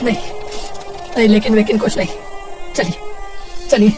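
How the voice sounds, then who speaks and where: A woman voicing an emotional, indignant young man in a cartoon drama, halting and repeating phrases for emphasis. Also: mid-range voice, steady tone, distant mic, over music, natural voice. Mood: grateful, insistent. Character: grieving, furious young grandson confronting his cruel father.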